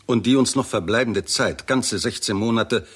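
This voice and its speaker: Husky, low-voiced, sardonic scientist. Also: male voice, normal speed, fairly bright tone. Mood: dry, scornful. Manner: dry, sardonic, precise. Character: blunt, skeptical British scientist, scornful of politicians. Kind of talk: 1960s German radio drama acting.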